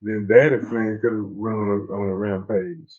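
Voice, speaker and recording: A man's voice: fairly low pitch, flat delivery, moderate pace, narrow, tinny audio. A man co-hosting a casual chat about a TV drama, laid-back, often restarting his sentences. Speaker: co-host of a TV discussion show. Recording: faint music behind.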